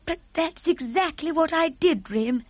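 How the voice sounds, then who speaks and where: A regal storybook Queen, played by a woman reader. Her voice sits high and she speaks in clipped, imperious phrases, sharp and commanding, yet poised. The sound is close and dry. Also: very animated, a little slow, no echo. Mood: astonished.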